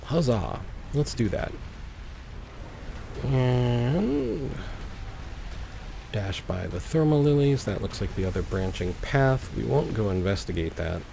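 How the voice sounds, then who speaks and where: A man's voice: medium pitch, big pitch swings, slow, gravelly, long pauses, mellow. A man giving casual, playful gameplay commentary as a let's play host, rambling with wry asides. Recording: faint background noise.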